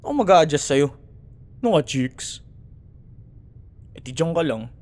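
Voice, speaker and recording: Man acting a young male school rival, Filipino accent, swooping animated pitch, smug, bickering, sarcastic, casual audio roleplay. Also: unhurried. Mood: exasperated. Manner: teasing, sarcastic, bantering. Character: cocky, teasing young male student, grudging school rival. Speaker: voice actor. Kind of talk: ASMR boyfriend roleplay, casual dialogue.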